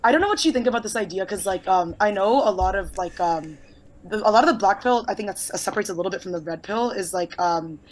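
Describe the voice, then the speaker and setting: Young woman host in her twenties; fast, loose, filler-heavy chat with casual swearing. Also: mid-range voice, low-quality recording. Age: 20s. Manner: rapid and rambling, many fillers and casual swearing. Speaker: podcast host. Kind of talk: casual conversation.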